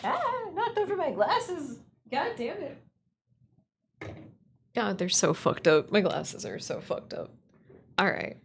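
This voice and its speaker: A woman livestreamer with a creaky voice talks through her work. She is casual and playful, rambling as she thinks aloud. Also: on the high side, wildly swooping pitch, takes it slowly, pauses often, slight background noise. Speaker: streamer, writer and voice actor.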